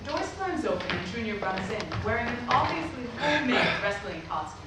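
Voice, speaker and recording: A woman narrates stage directions from a script. Her slightly high voice is far from the mic in a very reverberant hall, with clear background noise. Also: very animated, fairly quick. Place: theatre at a live staged reading. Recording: echoey, noisy hall recorded from far off.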